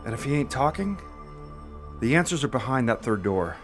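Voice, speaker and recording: An actor playing a weary, stubborn, reluctant hero in naturalistic audio drama dialogue, plain-spoken and doubtful. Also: male voice, mid-range voice, big pitch swings, normal speed, soft background music, lightly acted. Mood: resolute.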